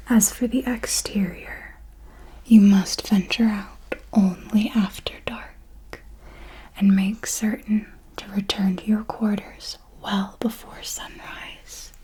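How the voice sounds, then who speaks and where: A woman voicing a seductive, possessive vampire mentor in an ASMR roleplay, speaking in a soft breathy whisper with a deliberate, formal, teasing manner. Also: mid-range voice, natural voice, low-quality recording.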